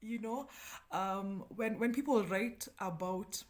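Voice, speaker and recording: A woman's voice: mid-range voice, takes it slowly, darkish tone. A Kenyan woman, a native Kikuyu speaker, reviewing books in a casual, slangy, self-deprecating chat. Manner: chatty, slangy, self-deprecating, breaking often into laughter. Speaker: book review vlogger.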